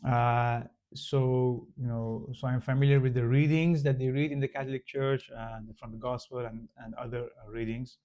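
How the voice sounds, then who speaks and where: A man from Iran with a Persian accent, a native Farsi speaker, casually explaining to the camera with many pauses, fillers and restarts. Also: mid-range voice, steady tone, a little mellow. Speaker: channel host.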